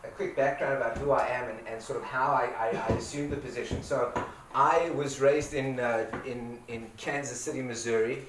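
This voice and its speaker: A man's voice: mid-range voice, steady tone, moderate pace, few pauses. Guy chatting to a crowd, loose and repetitive, echoey far-off sound. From South Africa. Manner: informal, repeats and restarts words, laughs easily. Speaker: community owner giving a talk. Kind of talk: personal talk to an audience. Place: event space with a live audience. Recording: roomy echo, distant mic, background noise.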